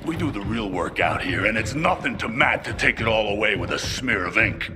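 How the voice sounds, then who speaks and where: A man's voice: fairly low pitch, expressive, a little fast, close to an everyday voice. A man voicing an army lieutenant who is angry, sarcastic and curses a lot. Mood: furious. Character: bitter male lieutenant, furious, grieving. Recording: reverberant cutscene with music.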